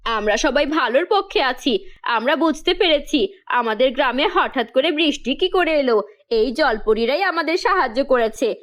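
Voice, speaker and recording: A woman's voice: high-pitched, animated, moderate pace. A woman voicing a resolute villager in a cartoon fairy tale, earnest and rousing. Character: resolute, grateful villagers rallying for good.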